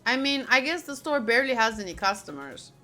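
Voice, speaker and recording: A woman's voice: a little high, very animated, fairly quick, pushed and bright, faint music behind. A woman streaming a game, reading its text aloud and chatting casually with playful asides.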